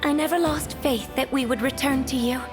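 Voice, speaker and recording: Spirit maiden for a game, played by a woman: serene, kind, high voice over music. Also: fairly even intonation, brisk, natural voice. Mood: grateful. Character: gentle, serene female spirit, faithful and kind. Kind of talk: video game cutscene voice acting.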